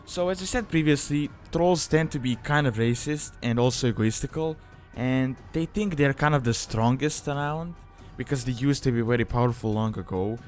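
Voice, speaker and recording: A man's voice: medium pitch, normal speed, a little mellow, faint music behind. Casual man presenting a tutorial. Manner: informal, conversational, hedging phrases. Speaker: video guide presenter. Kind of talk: casual explanatory tutorial narration.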